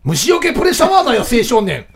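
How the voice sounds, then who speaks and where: A man playing a brisk commercial announcer lecturing youngsters in mock advertising narration. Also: extremely high pitch, huge pitch swings, vocal fry, exaggerated voice. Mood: brisk, upbeat.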